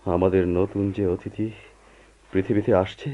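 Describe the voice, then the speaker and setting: An actor playing a steadfast, patient husband in a radio drama, earnest and deliberate. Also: male voice, low voice, little pitch movement, close to an everyday voice. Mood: insistent. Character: steadfast, patient husband pleading with his wife. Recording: muffled old radio play recording.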